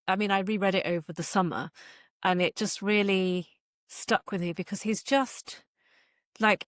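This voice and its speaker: Middle-aged female author for a casual interview: mid-pitched, self-deprecating, thinks out loud, very dry recording. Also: no room sound. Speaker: guest author and former magazine journalist.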